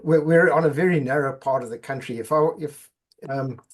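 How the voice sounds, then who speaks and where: A man's voice: a little high, a little fast. A middle-aged man from Zimbabwe, a podcast guest chatting casually and often repeating words as he goes. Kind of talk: casual interview chat.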